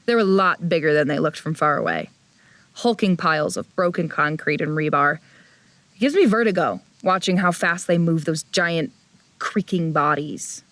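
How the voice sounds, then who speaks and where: A woman's voice: sits low, expressive, moderate pace, a bit bright. A woman playing a wary, grumpy young traveler who narrates an audio drama in a blunt, wry way. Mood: wary, descriptive. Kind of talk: first-person audio drama narration and dialogue. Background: music.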